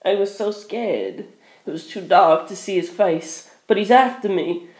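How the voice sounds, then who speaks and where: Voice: medium pitch, a little slow, darkish tone, reverberant, lightly acted, rough recording. A narrator voicing a terrified young man who rambles and begs in an audio story. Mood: scared, pleading. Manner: shaky, pleading, rambling. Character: terrified, begging young man, self-absorbed.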